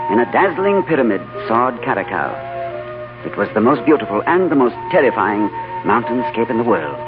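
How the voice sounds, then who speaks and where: An actor playing a calm, thoughtful male diplomat who narrates his journey in a 1930s radio drama, speaking in a composed, gentle way. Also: mid-range voice, lightly acted. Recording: tinny old radio broadcast with music.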